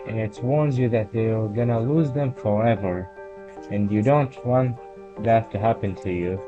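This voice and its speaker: A man narrating a horror video commentary in a halting way, pausing mid-sentence as he explains and reads out quotes. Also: mid-range voice. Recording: dull lo-fi voice recording. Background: background music.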